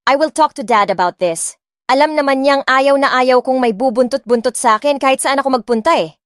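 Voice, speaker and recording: A woman's voice: sits high, brisk, dry recording, studio quality, close to an everyday voice. A woman in her twenties voicing a spoiled, defiant rich young woman in an audio drama, snapping back with bratty sharpness and mixing English into her speech. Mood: haughty. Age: 20s. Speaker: voice actor and narrator.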